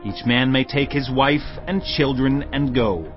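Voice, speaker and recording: A man's voice: mid-range voice, measured pace, lightly acted. A man playing a selfish, bitter troublemaker among ancient warriors, grudging and harsh, in an audio Bible drama. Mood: grudging, defiant. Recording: dull audio with clear music behind.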